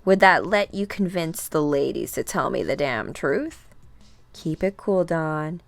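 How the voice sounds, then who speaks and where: A woman giving a dramatic, theatrical read-aloud of a comic book, acting out the characters slowly and deliberately. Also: low-pitched, animated, gravelly, dry recording, faint music behind. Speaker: comic book reader performing all characters.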